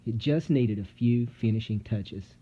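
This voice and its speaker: Slow dramatic male storybook narrator. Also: lowish voice, breathy edge, lo-fi sound. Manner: theatrical, suspenseful, deliberate pauses. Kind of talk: dramatic scripted children's story read-aloud. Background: music.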